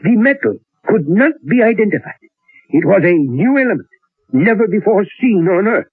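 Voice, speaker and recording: A thin, roomy old radio recording carries an elderly professor. He is learned and secretive, musing aloud with theatrical hesitations and lively swings of pitch. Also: moderate pace, breathy edge, narrow, tinny audio, exaggerated voice. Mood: grave, confiding. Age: older. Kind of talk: old-time radio drama acting.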